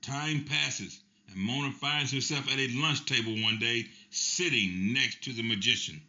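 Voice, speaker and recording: Bright-toned male narrator, matter-of-fact. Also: mid-range voice, unhurried. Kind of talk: scripted narration. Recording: dull lo-fi, faint music.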